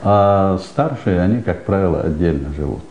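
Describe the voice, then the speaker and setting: A man's voice: low-pitched, expressive, normal speed, darkish tone, muffled recording. A man from Chechnya, giving casual answers in an interview. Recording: roomy echo, faint ambient noise.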